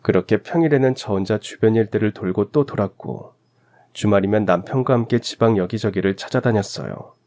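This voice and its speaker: A man's voice: fairly low pitch, a little fast, a little mellow. A man reading a scripted first-person story as a radio narrator.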